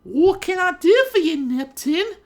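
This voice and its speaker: Man doing a squeaky falsetto prissy young woman. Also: expressive, measured pace, full character voice. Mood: coy, sugary.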